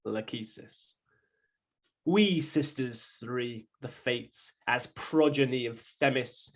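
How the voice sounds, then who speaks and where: Slow-paced man reciting poetry. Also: medium pitch. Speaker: guest poetry reader. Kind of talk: measured poetry recitation with brief introduction.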